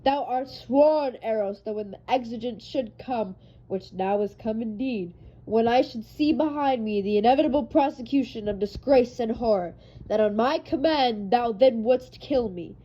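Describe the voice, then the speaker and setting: A dull, lo-fi recording of an anguished, defeated Roman general, acted by a woman in solemn Shakespearean declamation. The voice is mellow and rather high, with deliberate, dramatic phrasing. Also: natural voice. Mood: urgent pleading. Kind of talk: dramatic recitation of a Shakespeare monologue. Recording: dull lo-fi sound.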